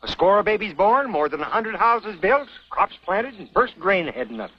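An actor playing a commanding frontier settler in a 1950s television western, emphatic and declamatory. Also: male voice, high voice, very animated, normal speed, slightly rough, heavily acted. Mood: satisfied. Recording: muffled old TV soundtrack, loud music.

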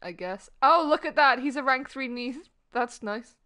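A young woman with a Yorkshire accent chatting excitably and swearing while playing a game with a friend. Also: high voice, moderate pace, clear, resonant tone. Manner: excitable, swears, laughs often. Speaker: streamer. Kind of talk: casual gaming chat. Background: game music.

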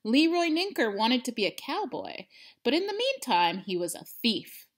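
Female storybook reader, thirties, expressive read-aloud with highly varied intonation and dramatic emphasis. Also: moderate pace. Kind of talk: animated children's read-aloud. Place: indoors, living room at home.

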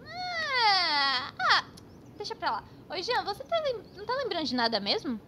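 A woman with a Brazilian accent voicing an excitable, scatterbrained best friend in a children's comedy cartoon, dramatic and full of exclamations. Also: very high voice, moderate pace, a little hiss or hum, heavily acted. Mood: wistful. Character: excitable, scatterbrained, over-prepared young female best friend.

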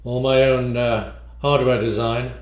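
A man's voice: mid-range voice, little pitch movement, unhurried. A man, explaining and demonstrating a product he designed. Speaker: module designer presenting a demo. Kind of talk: product demo, explanatory narration. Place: small room at home, indoors.

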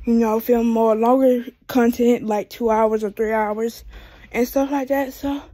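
A woman's voice: on the high side, steady tone, measured pace, warm tone, no echo. A woman of twenty-two with an American accent, chatting casually and rambling as a vlogger while she paces indoors, recorded on a handheld phone. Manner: rambling, talking while pacing on foot. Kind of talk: casual rambling vlog chat. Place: small room indoors at home. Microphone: phone at arm's length.

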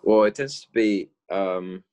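Low-voiced young man on a video call. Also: darkish tone. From France. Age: young adult. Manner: halting, many fillers and restarts, laughs easily. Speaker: guest musician. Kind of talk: casual video call interview. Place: inside a car.

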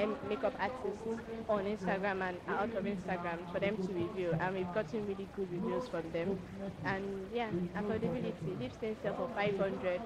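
Young nigerian woman pitching her brand, medium pitch, really loud crowd around. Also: poor audio. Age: young adult. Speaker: beauty brand representative. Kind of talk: interview answers, product pitch. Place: outdoor market fair. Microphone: handheld interview microphone. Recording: loud outdoor crowd and traffic.

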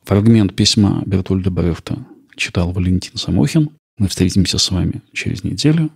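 A man's voice: deep voice, normal speed, creaky, slightly rough, no room sound, clean studio sound. A man hosting a podcast, reading scripted documentary-style narration. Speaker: podcast host.

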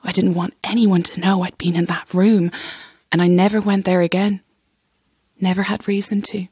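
A woman's voice: lowish voice, flat delivery, normal speed, dark tone, breathy edge, no echo, studio quality. An actress playing a nervy young chorus girl telling a ghost story in an audio drama, chatty and suspenseful. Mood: chatty, confiding. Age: young adult.

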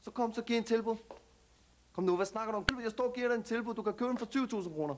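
A man's voice: very high voice, noisy background. An actor playing a brash young man at a TV casting, swaggering and aggressive, who tacks "mand" onto his lines. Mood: boastful. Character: brash young male street tough at a TV casting, hot-tempered. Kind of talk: scripted sketch comedy.

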